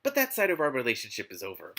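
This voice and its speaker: A man hosting a live read-aloud of a book, mixing narration with casual, exasperated and expressive commentary. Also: mid-range voice, racing, slight background noise. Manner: exasperated, expressive asides while reading.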